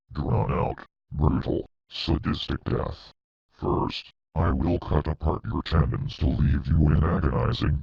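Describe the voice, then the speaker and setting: A man playing a cold, menacing artificial being of pure logic in an audio drama, speaking formally and deliberately with a gravelly, husky voice. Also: very deep voice, no echo, heavily acted. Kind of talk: dramatic villain monologue in amateur audio drama. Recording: dull lo-fi close recording.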